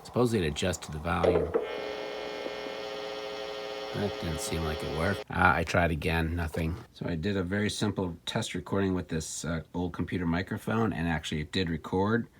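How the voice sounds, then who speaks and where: A man's voice: deep voice, normal speed, slight background noise. A man talking casually through a hands-on gadget demonstration, musing and thinking aloud. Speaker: vlogger demonstrating and repairing vintage electronics.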